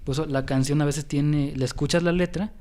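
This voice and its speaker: A man's voice: a little high, steady tone, fairly quick, dark tone. A young man with a Mexican accent, hosting a casual podcast chat about music history and talking in a rambling way with many fillers and restarts. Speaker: podcast host.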